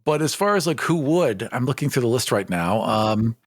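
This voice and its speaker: A man's voice: medium pitch, lively intonation, normal speed, dry recording, studio quality. A man co-hosting a podcast in casual, conversational style, thinking aloud as he goes. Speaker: podcast co-host. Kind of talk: casual chat, answering listener questions.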